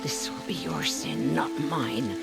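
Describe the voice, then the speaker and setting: A bitter elderly matriarch speaks very slowly with hugely swinging pitch and theatrical disdain. Loud music plays behind her in a video game drama. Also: very animated, exaggerated voice. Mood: scornful. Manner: disdainful, slow theatrical phrasing.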